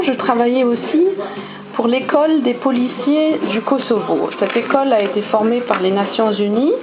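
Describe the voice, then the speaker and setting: A woman's voice: a little high, narrow, tinny audio. Hesitant woman. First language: German. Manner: hesitant, many fillers and restarts. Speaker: vlogger. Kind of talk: casual storytelling to camera.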